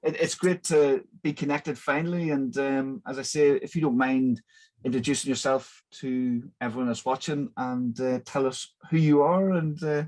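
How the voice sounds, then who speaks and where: A man's voice: medium pitch, fairly even intonation, normal speed, darkish tone. A man hosting an interview, opening in an upbeat, welcoming way on a video call. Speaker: host. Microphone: video call webcam.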